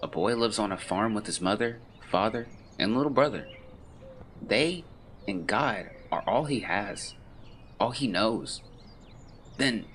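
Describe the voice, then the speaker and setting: An actor plays a brooding man from the 1920s, consumed by guilt, delivering a formal written confession. His voice is forceful and edged, swinging widely in pitch while staying solemn and deliberate. Birdsong and outdoor ambience sit behind him in this audio drama. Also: slight background noise.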